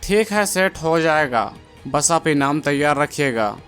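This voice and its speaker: A man's voice: a little high, lively intonation, measured pace, a bit bright, clear, resonant tone, faint music behind. A man voicing a sly, eager village henchman in a moral-story cartoon, servile and conspiratorial toward his boss.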